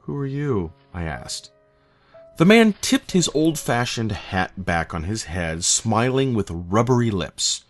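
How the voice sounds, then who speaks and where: A man reading a scripted horror story as narrator, in a measured, literary manner. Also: fairly low pitch, sing-song. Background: faint eerie music.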